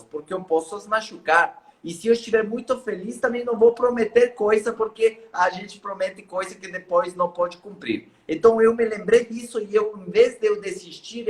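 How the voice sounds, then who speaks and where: A man whose first language is Spanish, an author telling his story as a guest in an earnest, motivational way. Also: sits high. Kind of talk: storytelling in a live interview.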